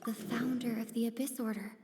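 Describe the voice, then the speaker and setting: A woman's voice: on the high side, steady tone, fairly quick, natural voice. An actress playing a solemn, knowing woman in a video game, speaking in a grave, portentous manner. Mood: solemn, accusing. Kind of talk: dramatic video game voice acting. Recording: faint ambient noise behind voice.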